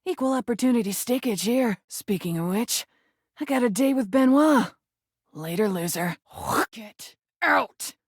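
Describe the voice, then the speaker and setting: Mouthy rebel rubber duck for a game: highish voice, cocky, sarcastic, dry close sound. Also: female voice, expressive, unhurried, breathy edge, no room sound. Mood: irritated, mocking. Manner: brash, mocking, sarcastic, swearing in duck puns. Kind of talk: video game character voice acting.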